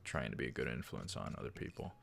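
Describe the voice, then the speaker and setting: A man's voice: rumbling low, big pitch swings, fast talker, vocal fry. A man reading a visual novel aloud as a let's player, adding hesitant, rambling casual commentary. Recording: game music behind. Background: game music.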